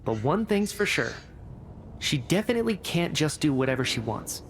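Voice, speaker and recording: An actor playing a confident, determined researcher in a video game, articulate and deliberate in delivery. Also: medium pitch, sing-song, background noise. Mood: assured, defiant.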